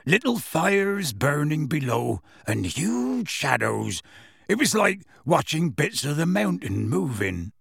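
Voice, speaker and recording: A huge, gruff half-giant gamekeeper tells his tale. The voice is husky and rough, swinging freely in pitch, with folksy, unhurried phrasing. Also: very animated, dry recording, clean studio sound, full character voice. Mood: matter-of-fact. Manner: folksy, ungrammatical, unhurried storytelling. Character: huge gruff half-giant gamekeeper, rustic, warm-hearted, plain-spoken. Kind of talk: audiobook character voice.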